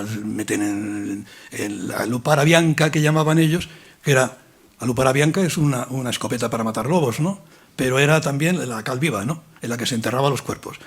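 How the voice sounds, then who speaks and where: A man's voice: medium pitch, breathy edge. A man speaking at a public colloquium, rambling through a long explanation with many restarts and fillers. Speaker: invited speaker. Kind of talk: lecture-style talk in a colloquium.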